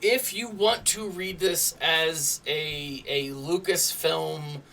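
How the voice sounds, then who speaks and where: Bright-voiced man, emphatic casual commentary. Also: a little high, distant mic, a little hiss or hum. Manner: emphatic, conversational, repeats "right?" as a tag. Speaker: YouTube host and reviewer. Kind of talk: casual opinionated commentary to viewers.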